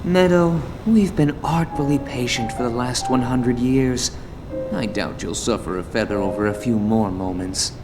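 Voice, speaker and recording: A man voicing a proud, sardonic bird-man warrior spirit in a theatrical, drawn-out video game performance. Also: medium pitch, lightly acted. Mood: smug resolve. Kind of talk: dramatic video game voice acting. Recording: loud music under the voice. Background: loud music.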